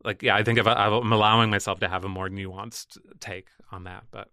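Man, softened Northern Irish accent, bright tone, rambling and self-deprecating podcast guest. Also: lowish voice, steady tone, a little fast, smooth, dry recording, studio quality. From Northern Ireland. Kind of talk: casual chat.

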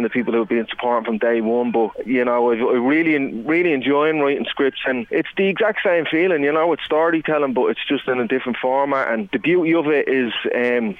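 A man's voice: medium pitch, dry recording. A man in his thirties with an Irish accent, a musician talking reflectively in a casual interview, with many fillers. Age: 30s. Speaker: guest musician. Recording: tinny phone-like line, dry.

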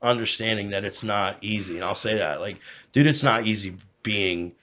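A man's voice: lowish voice, normal speed, fairly bright tone. An American man in his thirties chatting casually and candidly, swearing often and rambling as he repeats himself. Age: 30s. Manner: profane, rambling, repeats himself, frequent "you know". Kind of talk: casual candid podcast chat.

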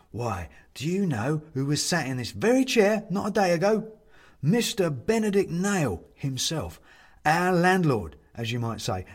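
Man playing Bobs, an excitable high-pitched little china man. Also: exaggerated voice. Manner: gleeful, hospitable, pompously chatty.

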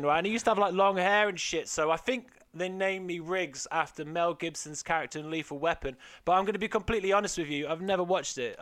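Man, young adult, stand-up comedian, loud, swearing, self-mocking, slightly high voice. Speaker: podcast guest, stand-up comedian. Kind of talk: casual chat.

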